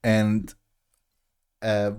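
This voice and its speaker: A man's voice: lowish voice, completely flat delivery, extremely slow, a little mellow, clear, resonant tone. A man in his thirties with a German accent, a live-stream host chatting casually and rambling with lots of restarts. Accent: German-accented English. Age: 30s. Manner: rambling, chuckles at himself, many restarts. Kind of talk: casual chat with viewers.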